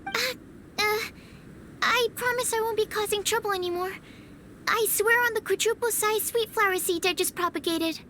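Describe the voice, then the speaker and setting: Timid young alchemist, very high voice, stammering, apologetic, polite. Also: female voice, normal speed, pushed and bright, slight background noise. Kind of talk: video game voice acting.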